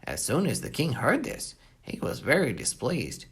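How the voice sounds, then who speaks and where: This is a children's storyteller reading scripture aloud with great expression. The voice swings widely up and down and has a husky, crackly texture. The delivery is animated and geared to young listeners. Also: mid-range voice, moderate pace. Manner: animated, explains hard words simply. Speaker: Bible story narrator for a children's program.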